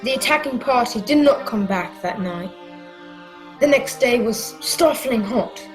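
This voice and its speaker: Woman playing a high-voiced plucky adventurer boy. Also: lively intonation, measured pace, music in the background, poor audio. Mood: earnest storytelling. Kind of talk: radio play narration and acting.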